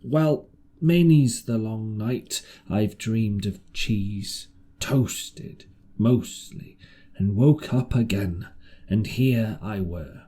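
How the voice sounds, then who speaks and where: A man voicing a ragged, marooned old sailor in an audiobook, half-wild and pious, with a hoarse, halting, rustic way of speaking. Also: lowish voice, mellow. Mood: wistful. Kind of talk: audiobook character voice.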